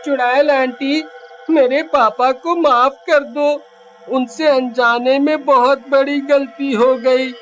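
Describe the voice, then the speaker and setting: Performer as polite small boy, high voice, begging, cartoon story, slightly dull recording, soft music. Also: fairly even intonation. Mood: earnest pleading. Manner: pleading, respectful, deliberate. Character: polite, earnest little village boy begging mercy. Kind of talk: horror cartoon story acting.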